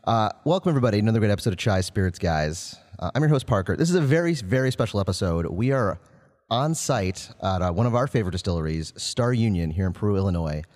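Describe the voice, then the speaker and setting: A man's voice: fairly low pitch, lively intonation, quick pace, a little mellow, long reverb tail. A man hosting a casual podcast on location inside a distillery, upbeat and loose, with many fillers and restarts. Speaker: podcast host. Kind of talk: casual chat. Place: distillery building interior.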